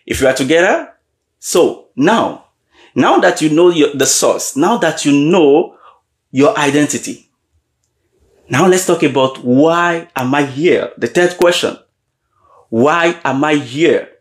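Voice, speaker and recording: A man giving a motivational leadership talk, often pausing to check in with his audience and repeating key questions. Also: a little high, lively intonation. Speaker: leadership coach. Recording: roomy echo.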